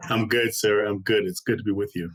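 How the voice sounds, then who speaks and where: A man, an anti-racism trainer speaking as a guest from home, measured and articulate. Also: on the low side, steady tone, fairly bright tone, slightly rough. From Chicago. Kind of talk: interview. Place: home, during lockdown.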